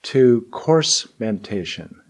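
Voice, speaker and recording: A man teaching and guiding meditation in deliberate, measured phrases with long pauses. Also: medium pitch, expressive, darkish tone. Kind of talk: guided meditation and spoken teaching.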